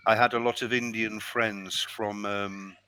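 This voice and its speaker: A man's voice: on the low side, flat delivery, unhurried, a crowd in the background. A British man tutoring English over a video call, friendly and chatty, explaining things step by step. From United Kingdom. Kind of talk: online tutoring chat.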